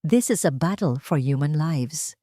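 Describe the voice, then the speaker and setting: Woman doing a serious male lawyer in his forties, formal, blunt, calm, storybook drama, dry sound. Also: deep voice, unhurried, no echo. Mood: blunt, grave.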